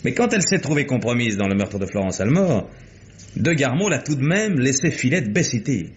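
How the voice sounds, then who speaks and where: An actor playing a cool, sardonic private detective in a French radio crime drama, speaking in a dry, measured, needling way. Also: male voice, medium pitch, very animated, close to an everyday voice. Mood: dry, insistent. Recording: dull, faintly noisy old radio recording.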